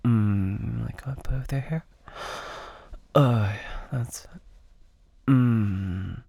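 A man whispering very slowly into a close microphone, his voice husky and airy. He slips between hushed words and low moans and sighs. The sound is dry, with no room around it. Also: dry recording. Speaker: audio roleplay performer. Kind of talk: intimate whispered audio roleplay.

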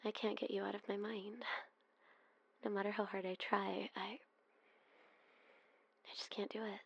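A woman whispers close and soft, her voice husky and full of gravelly fry. She keeps her tone narrow and even, sighing between phrases as in an ASMR roleplay. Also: mid-range voice, a little slow, dry recording. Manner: soft breathy whispering with sighs.

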